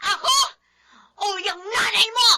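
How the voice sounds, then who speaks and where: An actress playing an aggrieved, emotional wife and daughter-in-law in a Filipino audio drama. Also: female voice, very high voice, measured pace, background noise. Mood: aggrieved, shouting. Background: music.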